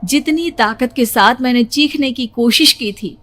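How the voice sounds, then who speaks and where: A woman's voice: fairly high pitch, a little slow, fairly bright tone. A woman narrating a horror story in the first person with a suspenseful, theatrical delivery.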